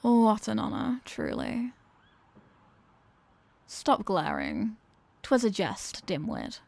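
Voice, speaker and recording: A woman's voice: medium pitch, huge pitch swings, slow, creaky, darkish tone, no echo, exaggerated voice. A woman voicing a dominant, mocking female villain in an ASMR roleplay, with a condescending, teasing, theatrical manner. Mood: mocking, amused.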